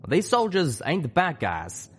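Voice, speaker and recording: A man voicing an earnest male pegasus pony soldier in a storybook, with forceful, folksy speech. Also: a little high, wildly swooping pitch, unhurried, slightly rough, pitched above the performer's own voice, full character voice.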